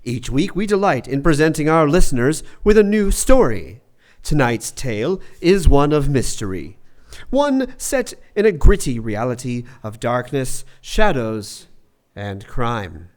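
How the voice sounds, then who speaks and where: A man announces a radio drama with theatrical flair. His medium-pitched voice swings widely in intonation, and his delivery is formal and dramatic, like a storyteller's. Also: big pitch swings, unhurried, darkish tone. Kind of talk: theatrical scripted radio introduction.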